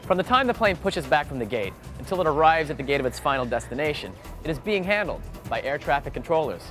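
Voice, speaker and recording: A man's voice: a little high, big pitch swings, fast talker, fairly bright tone, faint music behind. A man hosting an educational TV segment, moving between scripted narration and casual interview questions. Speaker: TV host and interviewer.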